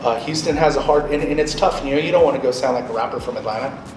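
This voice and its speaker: A man's voice: medium pitch, quick pace. A man in his late thirties giving an informal instructional talk to a group, explaining conversationally and checking in with short questions. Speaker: radio programmer, guest speaker. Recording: echoey room, distant mic, loud background noise.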